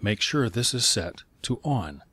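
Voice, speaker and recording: A man narrating scripted instructions in clear, step-by-step phrasing. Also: fairly low pitch, big pitch swings, a little slow, fairly bright tone, no room sound.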